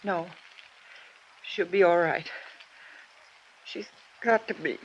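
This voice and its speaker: An actress with an American accent playing a frightened, secretive country innkeeper's wife in an old radio drama, nervous and halting. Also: female voice, lowish voice, slightly rough, lo-fi sound. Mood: worried.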